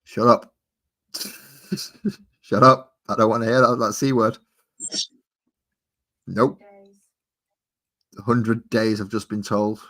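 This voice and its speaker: Man, low voice, rambling, jokey livestream host. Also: fairly even intonation, slow pace, long pauses, pushed and bright. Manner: jokey, rambling, chats with viewers. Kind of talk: casual chat while painting.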